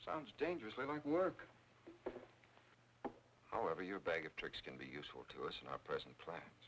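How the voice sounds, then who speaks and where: A man's voice: sits low, huge pitch swings, moderate pace, noisy background. An actor playing a suave, sardonic, menacing husband who faked his death, in 1940s film noir style. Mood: cool, scheming. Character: sinister scheming husband faking his death, urbane, mocking, menacing. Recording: old film soundtrack with music. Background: background music.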